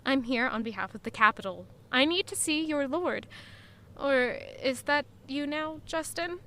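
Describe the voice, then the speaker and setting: A woman playing a quietly resolute young woman in an audio drama, narrating in a measured, reflective way. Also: high voice. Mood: firm, composed. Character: guilt-ridden, quietly resolute young woman returning to her hometown. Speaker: narrator and lead character. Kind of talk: first-person scripted audio drama narration.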